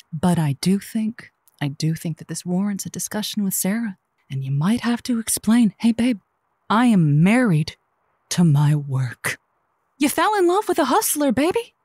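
A woman's voice: fairly low pitch, very animated, normal speed, dark tone, husky, dry recording, studio quality. A woman playing an earnest, effusive radio advice host in a semi-scripted audio drama, gushing and theatrical. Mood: earnest, dramatic.

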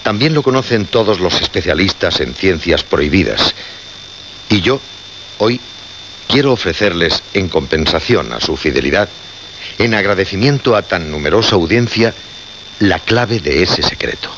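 Solemn male horror-show host, deliberate and theatrical, on a muffled, noisy radio recording. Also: low-pitched, lively intonation, a bit bright. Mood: grave, enticing. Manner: deliberate, dramatic pauses and repetitions. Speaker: radio actor. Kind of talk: radio horror drama acting.

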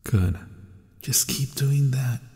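A man's voice: mid-range voice, takes it slowly, slightly rough, no echo, studio quality, exaggerated voice. A man playing a tsundere young bully, teasing but secretly caring, in an ASMR roleplay with a hesitant delivery. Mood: gentle reassuring. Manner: hesitant, stammering, softly spoken asides.

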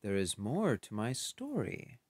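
A man reading a picture book aloud, voicing an elephant telling a long story, slow and dramatic. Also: lowish voice, huge pitch swings, a little mellow, slightly rough, dry recording, heavily acted. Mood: earnest. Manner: slow, dramatic storytelling with sing-song sounds. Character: gloomy elephant telling his tale.

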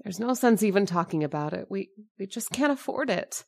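A woman's voice: medium pitch, animated, a little fast, vocal fry, a little mellow. A woman playing an anxious, thrifty landlady who stammers and fusses to please, in a radio drama. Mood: fretful. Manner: flustered and stammering, eager to please. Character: anxious, eager landlady, middle-aged, thrifty.

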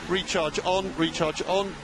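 A man working as a race engineer, giving terse, measured instructions to a driver over team radio and repeating key calls. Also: sits high, fairly even intonation, music in the background.

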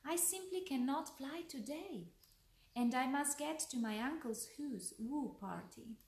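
A woman's voice: high voice, expressive, measured pace, a little mellow, pitched above the performer's own voice. A woman voicing an earnest, fretful male owl from a children's storybook, emphatic and explanatory, in a roomy recording. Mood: glum. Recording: roomy echo, distant microphone.

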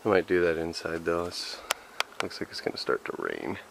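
A young Canadian man with a low voice talks casually to his vlog audience. His delivery is laid-back and slangy, rambling along loosely. The sound comes from a handheld camera mic with clear background noise. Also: very animated, normal speed. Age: 20s. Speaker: vlogger. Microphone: camera's built-in mic, handheld or at arm's length. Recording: noisy handheld camera audio, vehicle and ambient noise.

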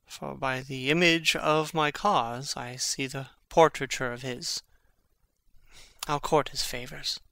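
An actor playing a witty, sardonic young prince in a Shakespearean audio drama, speaking in an articulate, theatrical, mocking way. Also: male voice, medium pitch, sharp tone, clear, resonant tone, no echo. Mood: brooding, resolved.

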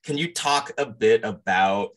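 Host: young man, lowish voice, relaxed and thoughtful interviewer on a webcam call. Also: a little slow. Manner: thoughtful, loosely phrased questions. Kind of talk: casual interview questions. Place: indoors, plain wall. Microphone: video call webcam mic.

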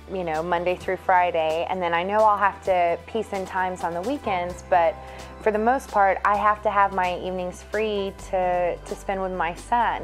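A woman in her twenties, an incoming law student and parent, speaking matter-of-factly in an interview. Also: on the low side. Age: 20s.

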